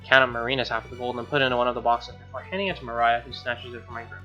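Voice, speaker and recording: Casting: young male gamer, bright forceful voice, slangy and exasperated, narrating a playthrough on a muffled mic. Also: mid-range voice, steady tone, rapid-fire. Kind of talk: casual commentary and read-aloud narration. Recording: dull lo-fi mic, music underneath. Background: game music.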